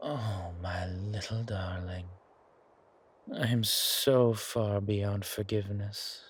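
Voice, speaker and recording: A man playing a suave, menacing, hungry vampire in an ASMR horror roleplay, with a softly taunting, drawn-out delivery. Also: on the low side, fairly even intonation, breathy edge. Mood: darkly amused. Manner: slow, drawn-out, softly taunting, often whispering.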